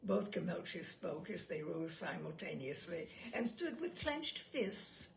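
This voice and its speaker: A woman reading humorous short fiction aloud as an expressive, deliberate and theatrical literary narrator. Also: lowish voice, vocal fry, a little hiss or hum. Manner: deliberate, theatrical delivery with dialogue acted out. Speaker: reader of humorous short stories.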